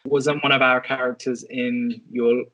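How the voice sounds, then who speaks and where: Mid-pitched man chatting, very dry sound. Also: flat delivery, a little slow, pushed and bright, dry recording, poor audio. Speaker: podcast panellist. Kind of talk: casual podcast discussion.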